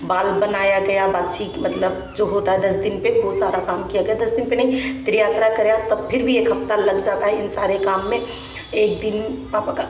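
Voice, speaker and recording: A young woman vlogger, her voice worn by a sore throat, talking softly and haltingly to her viewers from home. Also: medium pitch, flat delivery. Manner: soft, halting, reflective; frequent restarts with "matlab". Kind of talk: personal vlog talking to viewers. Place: room at home. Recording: echoey room, mic at a distance, faint hum.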